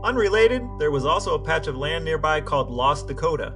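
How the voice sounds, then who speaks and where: A man's voice: fairly high pitch, animated, brisk, over music. A man co-hosting a podcast, laying out facts in a conversational, explanatory way. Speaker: podcast co-host. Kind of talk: reading texts and recounting facts conversationally.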